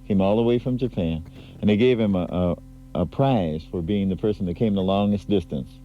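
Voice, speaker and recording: Low-voiced chatty radio DJ. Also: male voice, a little fast, a little hiss or hum. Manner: chatty, laughing, rambling. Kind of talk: casual on-air DJ talk. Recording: muffled 1980s AM/FM aircheck tape.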